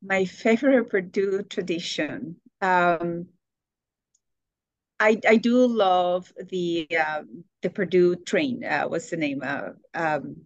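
A woman in her sixties with a lowish voice talks through her answers warmly, often pausing to find the right word. The sound is dry and close. She laughs easily as she goes. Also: no echo, lo-fi sound. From Colombia. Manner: warm, searching for words, laughs often. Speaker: guest. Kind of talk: interview answers.

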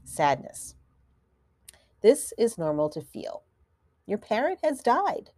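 A woman who is a counselor hosting a grief podcast, talking gently and in a measured way, a little stuffy from allergies. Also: medium pitch, very animated, no room sound. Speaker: podcast host and licensed counselor. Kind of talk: solo podcast talk.

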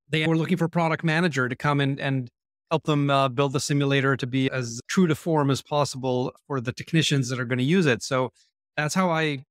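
A man's voice: on the high side, fairly bright tone, dry recording, clean studio sound. A man in his forties with an Israeli accent, a podcast guest telling his career story in a candid, self-deprecating way. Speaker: guest, marketing executive. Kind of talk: podcast interview.

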